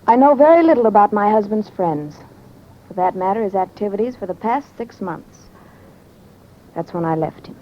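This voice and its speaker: A woman's voice: mid-range voice, animated, moderate pace, lightly acted. A woman playing a haughty, short-tempered rich widow in old-time radio acting style. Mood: icy. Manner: imperious, cutting. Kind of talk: 1940s-50s radio acting. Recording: dull, noisy old radio recording.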